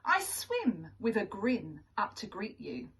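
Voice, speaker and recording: A sly, grinning storybook shark, read by a woman, coaxes its listener closer with quiet menace. The delivery is unhurried and a little distant from the microphone. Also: medium pitch, wildly swooping pitch, slightly rough, exaggerated voice. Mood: sly, inviting.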